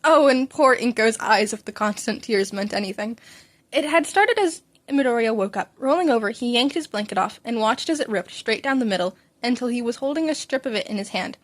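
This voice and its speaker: Casting: woman, fairly high voice, informal audiobook-style narration of fan fiction, unpolished with occasional stumbles. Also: lively intonation, fairly quick. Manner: informal, stumbles and rereads lines. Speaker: fan fiction podcast reader. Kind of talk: informal read-aloud story narration.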